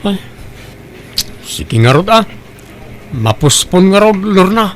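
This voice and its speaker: A man's voice: sits high, big pitch swings, takes it slowly, background noise, full character voice. A man playing an eager, reassuring young bridegroom who is short of money, in a radio-style comedy drama. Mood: worried.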